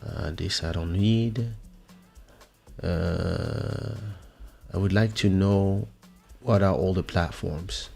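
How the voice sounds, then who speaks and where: Male stream host, low gravelly voice, casual and pause-filled, muttering as he thinks aloud. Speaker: livestream host and musician. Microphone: close podcast microphone.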